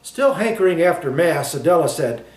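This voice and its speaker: A man's voice: fairly high pitch, lively intonation, normal speed. A man reading a novel aloud as scripted literary narration. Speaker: audiobook reader. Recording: echoey room.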